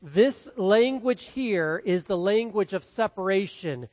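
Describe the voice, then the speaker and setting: A man from the Midwest with a Midwestern accent, preaching a verse-by-verse sermon as pastor, swinging between loud and quietly hushed delivery. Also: high voice, animated, slow, no echo. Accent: Midwestern American. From American Midwest. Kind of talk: expository sermon.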